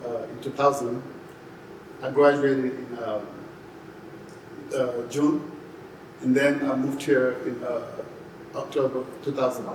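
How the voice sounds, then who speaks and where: A man in his forties with a Senegalese accent, giving a casual interview in a halting way, often saying "you know". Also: medium pitch. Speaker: podcast guest, photographer and graphic designer. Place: indoor podcast studio. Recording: echoey room, distant mic, clear background noise.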